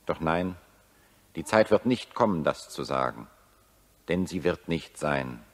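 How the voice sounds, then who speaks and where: An anguished, declamatory wartime satirist. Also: male voice, a bass voice, lively intonation, a little slow, exaggerated voice. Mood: grave despair. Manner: declamatory, solemn, rhetorical. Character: anguished satirist accusing humanity over the war, bitter, prophetic. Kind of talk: 1940s German radio drama monologue.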